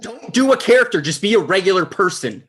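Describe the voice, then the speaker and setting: A man's voice: high-pitched, sing-song, a little fast, fairly bright tone, husky, exaggerated voice. A man playing an awkward, self-important online show host in a comedy table read, stammering a little. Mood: exasperated.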